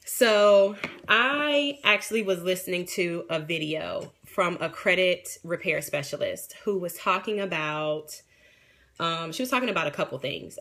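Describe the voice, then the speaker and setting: A woman in her thirties, a realtor, chatting frankly with viewers on a phone livestream, her voice a bit raspy from a sinus infection. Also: fairly low pitch, a bit bright. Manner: frank, chatty, reads out and answers viewer comments. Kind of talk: casual livestream chat with viewers. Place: small room indoors. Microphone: phone front camera at arm's length, neckband headset.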